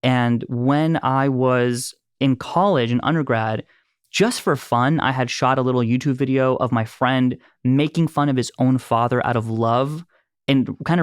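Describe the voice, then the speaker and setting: A man's voice: mid-range voice, clean tone, dry recording, studio quality. A man in his thirties from Los Angeles, a filmmaker telling stories in a casual chat and laughing easily along the way. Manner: animated storyteller, laughs easily. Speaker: filmmaker and producer. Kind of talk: casual conversation, storytelling.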